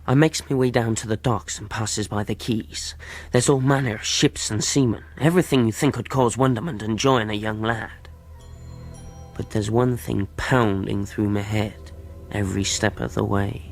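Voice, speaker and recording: A man's voice: fairly low pitch, steady tone, moderate pace, vocal fry, soft background music. An actor in a radio drama playing an earnest, polite young cabin boy with rustic speech. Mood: wondering. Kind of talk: radio drama acting and narration.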